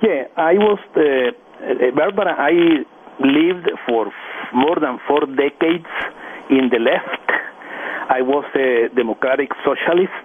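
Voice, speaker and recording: A man from El Salvador, a caller speaking in an earnest, halting way with many restarts. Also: on the high side. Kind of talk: call-in radio comment. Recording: phone line with faint noise.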